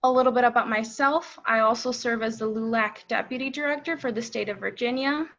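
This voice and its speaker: A woman's voice: on the high side, fairly quick, fairly bright tone. A young woman from El Salvador, hosting a webinar over a video call with polite, formal announcements. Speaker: webinar host. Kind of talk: scripted webinar introduction. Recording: slightly dull video call audio.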